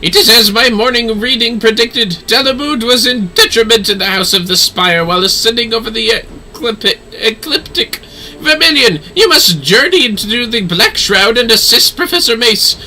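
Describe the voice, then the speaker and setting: A man performs a haughty fantasy-game nobleman and astrologer. He is pompous and florid, with a bright, forceful edge and big swoops in pitch. His delivery is grand and easily delighted. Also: lively intonation, moderate pace, slight background noise, full character voice, low-quality recording. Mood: excited, grand.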